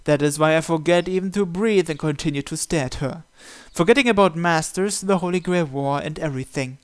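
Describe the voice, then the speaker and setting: Higher-voiced man narrating a visual novel. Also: fairly quick, clean tone. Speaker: channel host reading visual novel text aloud. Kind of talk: dramatic first-person scripted narration.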